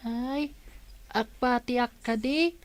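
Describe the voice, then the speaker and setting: A woman's voice: a little high, slow, no room sound. A woman voicing a small, clingy child who asks plaintive questions in an audio drama. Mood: plaintive, curious.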